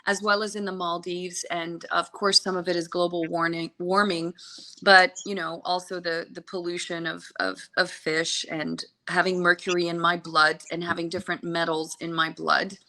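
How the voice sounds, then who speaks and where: A woman's voice: lowish voice, flat delivery, moderate pace, bright, forceful tone. A woman with an American accent, originally from the Seychelles, telling her own story as a podcast guest in a chatty, earnest, meandering way. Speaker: podcast guest, travel journalist and social media consultant. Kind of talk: conversational interview, personal storytelling.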